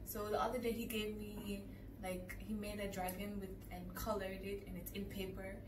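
A young woman chatting casually, telling lively stories about her students and acting out their voices. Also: mid-range voice, normal speed. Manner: lively, acts out kids' voices. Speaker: English teacher in Japan. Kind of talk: casual chat, telling anecdotes. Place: indoor room with framed photos. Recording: echoey room, far mic, clear room hum.

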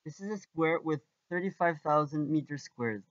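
A man's voice: a little high, animated, normal speed, dry recording, dull audio. A teenage man from Portugal with a Portuguese accent, presenting an amateur documentary as a student guide.

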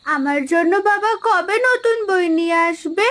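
A woman's voice: very high voice, expressive, moderate pace, fairly bright tone. A woman voicing an eager, wistful little village schoolgirl with a childlike, pleading manner in a children's cartoon. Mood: curious, wistful.

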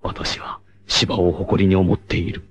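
An actor playing a noble, fatherly blind rebel warrior in an anime, with a solemn, formal, measured delivery. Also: sits low, steady tone, slightly rough, no room sound, exaggerated voice. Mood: quietly grieving. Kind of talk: dramatic anime acting.

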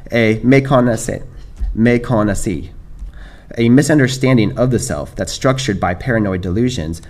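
American man, middle-aged, lowish voice; measured lectern talk read from notes. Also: a little mellow. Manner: measured, reads from script with small restarts. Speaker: panel speaker. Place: dark lecture hall, standing at a lectern. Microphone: lectern microphone.

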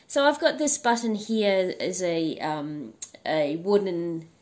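Husky woman recovering from the flu. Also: fairly low pitch, slow, darkish tone. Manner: chatty, with frequent ums and restarts. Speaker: crochet tutorial host. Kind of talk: casual instructional talk.

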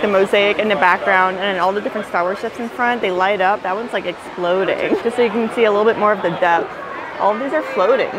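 Casual female vlogger, twenties, mid-range voice, informal and self-deprecating, light background noise. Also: medium pitch. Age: 20s. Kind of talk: casual chatty vlog. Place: hotel room, street, convention hall. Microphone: handheld camera at arm's length.

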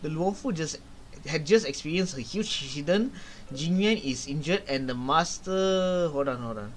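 A young man with a fairly high voice plays through a game and reads its dialogue aloud. He goes slowly and haltingly, correcting himself as he reads. Some background noise sits behind him. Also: animated. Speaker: gaming video host. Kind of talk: reading game dialogue aloud, casual playthrough commentary.

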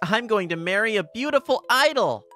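A woman's voice: a little high, animated, a little fast, a bit bright, faint music behind. A woman voicing an earnest, easily flustered teenage boy in a manga dub, narrating and speaking expressively. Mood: flustered, exclaiming. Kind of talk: manga dub dialogue and first-person narration.

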